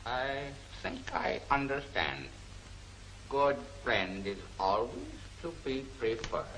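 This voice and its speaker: An actor playing a polite, cautious Chinese shopkeeper in an old film serial, speaking in halting, formal sentences with dropped words. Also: male voice, mid-range voice, lively intonation, fairly bright tone. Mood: wary, hesitant. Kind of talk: 1940s film serial acting. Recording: noisy old film soundtrack.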